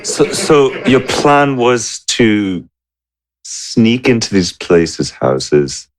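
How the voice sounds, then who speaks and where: A man's voice: medium pitch, sing-song, extremely slow, low-quality recording. A man playing a tabletop roleplaying game, speaking in a questioning, improvised style.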